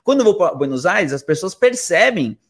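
A man's voice: high-pitched, sing-song, fairly quick. A man from Argentina with a Brazilian Portuguese accent, chatting casually in a slangy, rambling way and often restarting his sentences. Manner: rambling, slangy, self-interrupting. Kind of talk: casual conversational storytelling.

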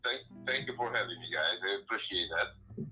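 Male interview guest, middle-aged, Romanian-accented English, deep but sharply bright tone, hesitant phrasing. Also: moderate pace, soft background music, poor audio. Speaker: guest, wine importer. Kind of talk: explaining in an interview.

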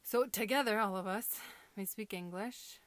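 A young woman from Southern California chats with her sisters in a loose, rambling way and breaks into laughter often. Her voice sits in an ordinary middle pitch. The recording is close and dry. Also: moderate pace, no room sound. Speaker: podcast co-host. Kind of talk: casual chat among sisters.

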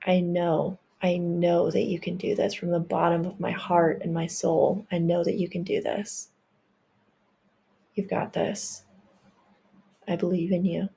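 Slow, soft-spoken woman guiding meditation. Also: on the low side, vocal fry, husky. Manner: gentle, reassuring, affirming. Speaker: meditation guide. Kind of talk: guided healing meditation.

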